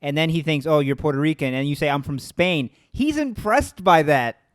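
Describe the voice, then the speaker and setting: A man hosting a casual podcast, chatty and quick to agree before adding his own point. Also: on the high side, expressive, no room sound, studio quality. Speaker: podcast host.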